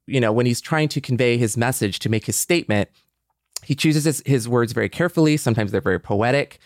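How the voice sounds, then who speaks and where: Male co-host, medium pitch, dry recording. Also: no echo. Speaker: podcast co-host. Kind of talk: casual podcast talk and sign-off announcements.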